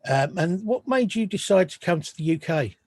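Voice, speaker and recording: A man's voice: high voice, lively intonation, normal speed, darkish tone, no echo. A man hosting a music podcast, chatting casually, with many restarts and apologetic asides. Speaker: podcast host. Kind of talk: casual interview chat.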